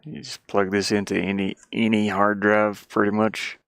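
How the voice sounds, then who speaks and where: Male streamer, low and fry-heavy, off-the-cuff rambling delivery, thinking aloud. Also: level tone, normal speed, a bit bright, dry recording, studio quality. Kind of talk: casual live stream chat. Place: inside a van he lives in.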